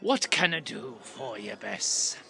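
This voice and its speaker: A man's voice: medium pitch, big pitch swings, takes it slowly, gravelly, sharp tone, soft background music, heavily acted. A man playing a wry, knowing tavern pirate in an audio play, speaking in salty old-fashioned dialect. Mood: wry amusement.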